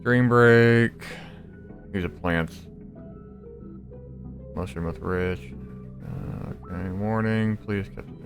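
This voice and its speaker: A man's voice: fairly low pitch, fairly even intonation, slow, gravelly, pauses often. A man giving casual, blunt game commentary with swearing, muttered asides and bits of text read aloud. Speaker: gaming let's player. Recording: loud game audio underneath. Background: game music.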